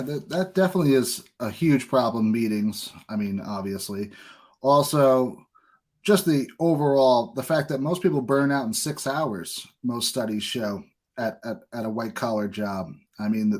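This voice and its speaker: A man hosting a podcast interview in a casual, informal way, haltingly thinking aloud as he talks. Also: medium pitch, darkish tone. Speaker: podcast host.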